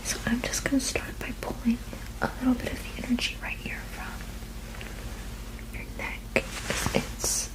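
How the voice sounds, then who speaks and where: A woman whispers in a soft, breathy voice, pitched slightly high but kept even and gentle. She sounds like a soothing sleep therapist in an ASMR roleplay, reassuring and unhurried. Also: poor audio. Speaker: ASMR performer playing a witch sleep therapist.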